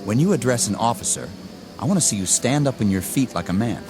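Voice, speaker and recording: An actor playing an arrogant, inexperienced army lieutenant in a radio drama, with a clipped, formal, commanding manner. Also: male voice, medium pitch, animated, fast, natural voice. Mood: stern. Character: arrogant, rigid, inexperienced young army lieutenant, eager for combat. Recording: dramatic music under the dialogue.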